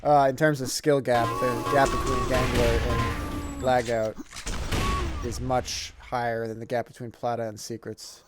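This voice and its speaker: Slightly hoarse man casting a game stream. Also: medium pitch, little pitch movement, a little slow. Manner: casual and rambling, with many fillers and restarts. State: slightly hoarse from long talking. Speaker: tournament caster. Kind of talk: live esports commentary on a stream. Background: game music and gunfire sounds.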